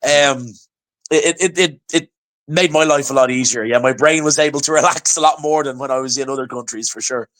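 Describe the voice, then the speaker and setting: An Irish man chatting as a podcast guest, telling stories in an animated way and often restarting his words. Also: mid-range voice, brisk. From Ireland. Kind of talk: casual chat.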